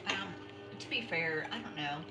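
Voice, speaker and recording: A woman's voice: on the low side, normal speed, recorded from a distance. A middle-aged woman chatting casually while knitting on a craft livestream, rambling and interrupting herself often. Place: home. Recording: echoey room, voice off-mic, music behind.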